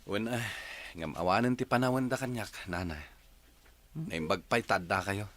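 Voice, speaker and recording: A man playing a lonely, soft-spoken young rich master with an Ilocano accent in a radio drama. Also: lowish voice, normal speed, natural voice. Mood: wistful.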